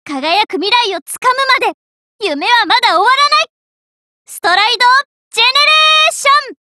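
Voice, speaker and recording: Super high bright anime girl, cheerful magician idol type, polite n eager, game voice lines. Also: expressive, moderate pace, heavily acted. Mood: theatrical, dreamy. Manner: sweet, eager, emphatic called-out phrases.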